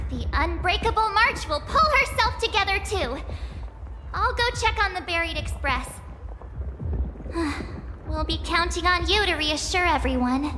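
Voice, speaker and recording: Very high, girlish young adventurer. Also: expressive, measured pace, pushed and bright, a little hiss or hum, heavily acted. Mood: resolute, subdued. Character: young girlish female adventurer, earnest and plucky. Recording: long reverb with music behind.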